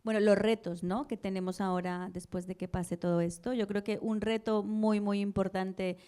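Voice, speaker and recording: A woman's voice: mid-range voice, steady tone, normal speed, dark tone, clear, resonant tone. A woman from Colombia, a community organizer speaking thoughtfully on a panel and often ending phrases with tag questions. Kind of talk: public panel discussion.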